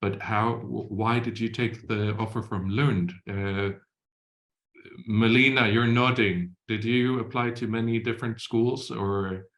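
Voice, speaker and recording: A man's voice: on the low side, fairly even intonation, a little slow, a bit bright. A man moderating an online university Q&A over a video call, with many hesitations and fillers. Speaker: webinar moderator. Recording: roomy echo.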